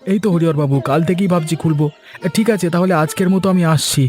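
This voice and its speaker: A man plays a cheerful young village food seller in a cartoon tale. His voice sits a bit high and he is chatty and deferential. Soft music sits underneath. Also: quick pace, close to an everyday voice. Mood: eager, polite. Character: cheerful, eager young village biryani seller, polite to elders. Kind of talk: Bengali cartoon story voice acting.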